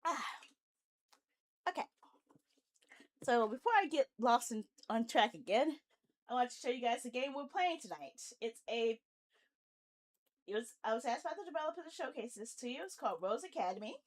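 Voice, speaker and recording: A woman's voice: fairly high pitch, lively intonation, moderate pace, long pauses. A woman hosting a livestream, chatting casually and playfully and talking to herself as she sorts out her setup. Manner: playful, talks to herself while troubleshooting. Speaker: live streamer host. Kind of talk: casual livestream chat while setting up a game.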